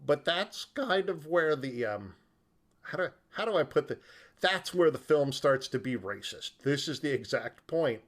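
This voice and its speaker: A man co-hosting a podcast, casually discussing film in an animated, joking way with rambling asides. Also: a little high, measured pace. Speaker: podcast co-host.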